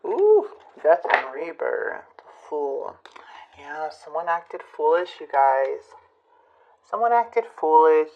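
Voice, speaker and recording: A woman giving a casual tarot reading to her viewers, musing and hesitant, her voice a bit hoarse from a recent illness. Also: sits low, big pitch swings, slow pace, lo-fi sound. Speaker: tarot reader.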